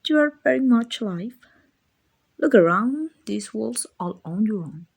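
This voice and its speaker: A woman voicing a gentle, doting, slightly clumsy guardian angel in a soft-spoken ASMR comfort roleplay, affectionate, hesitant and apologetic. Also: on the high side, expressive, measured pace, creaky, slightly rough, heavily acted. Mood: reassuring, tender.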